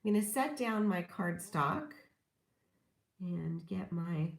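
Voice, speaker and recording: A woman demonstrating papercrafting in a gentle, chatty tutorial, a little hoarse from a lingering cold. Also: fairly low pitch, expressive, slow pace, echoey room. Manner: gentle, chatty, laughs softly while working. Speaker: papercrafting instructor. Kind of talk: casual craft tutorial demonstration.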